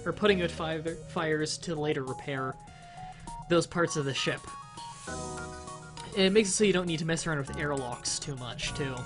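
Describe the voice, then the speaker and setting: A slightly sick young man with a nasal voice, casually explaining a game in a rambling stream commentary. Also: a little high. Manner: rambling, explains game mechanics with fillers and restarts. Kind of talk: casual let's-play commentary.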